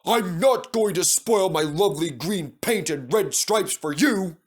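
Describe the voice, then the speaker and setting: A man's voice: high-pitched, lively intonation, measured pace, husky, voice pushed up, full character voice. A man voicing a vain, stubborn male steam engine in a storybook, refusing in a petulant, emphatic way.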